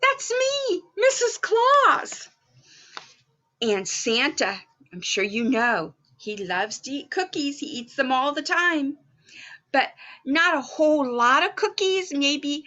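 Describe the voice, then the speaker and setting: Woman playing a high, animated Mrs Claus. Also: muffled recording, heavily acted. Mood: playful, delighted. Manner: exclaiming, addressing young children directly with questions. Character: Mrs Claus, cheerful motherly storyteller at Santa's workshop. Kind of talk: children's story time, read aloud.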